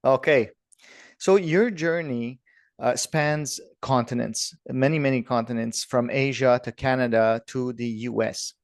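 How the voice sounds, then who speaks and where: A man's voice: mid-range voice, a little slow, dry recording, clean studio sound. A man hosting a podcast, upbeat and direct as he asks questions. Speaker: podcast host. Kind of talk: podcast interview.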